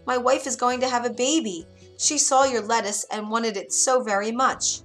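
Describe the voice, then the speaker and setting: A woman's voice: medium pitch, lively intonation, normal speed, a bit bright, soft background music, natural voice. A woman voicing a timid carpenter husband from a fairy tale, in a hesitant, pleading manner. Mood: nervous pleading.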